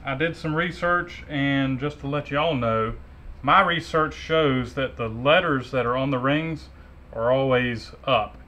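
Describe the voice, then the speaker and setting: A man's voice: mid-range voice, measured pace, pushed and bright. A man in his thirties, a mechanic host chatting casually as he rebuilds an engine in his garage workshop. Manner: easygoing, talks while working with his hands.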